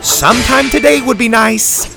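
Tough, sarcastic old grandma, voiced by a man, pitched up, animated, scolding storybook heroine. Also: measured pace, over music. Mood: brisk, commanding. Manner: dry, scolding, commanding. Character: brisk, sarcastic older warrior grandmother.

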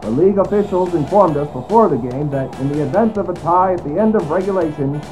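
An actor playing an excited 1950s play-by-play football announcer calling a championship game. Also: male voice, fairly high pitch, quick pace, music in the background, natural voice, rough recording. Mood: keyed-up. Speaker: sports announcer. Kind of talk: sports broadcast commentary.

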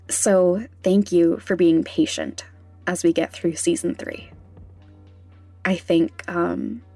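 A woman's voice: lowish voice, vocal fry, a little mellow. A young adult woman hosting a podcast, narrating conversationally in a candid, informal, wryly self-deprecating way. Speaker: podcast host and sound designer.

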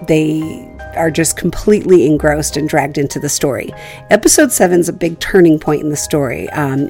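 A woman with a low voice and plenty of vocal fry addresses podcast listeners as the show's creator. She is informal and halting, with music behind her. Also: darkish tone. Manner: halting, searching for words.

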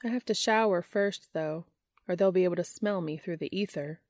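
A woman narrator plays a businesslike grown woman in a story. She is brisk and wry, and the sound is dry and close. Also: lowish voice, a little mellow, no echo, lightly acted.

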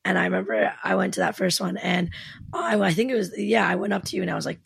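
Hoarse, raspy young woman host. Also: medium pitch, fast talker, dry recording, studio quality. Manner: excitable, swears casually. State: hoarse, partly lost voice. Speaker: podcast host. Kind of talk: casual podcast chat.

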